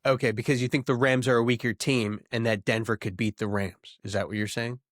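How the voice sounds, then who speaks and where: A man's voice: medium pitch, fairly even intonation, a little fast, no echo. Sardonic young man, dry close sound. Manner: sardonic, joking. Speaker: podcast co-host. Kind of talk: casual banter.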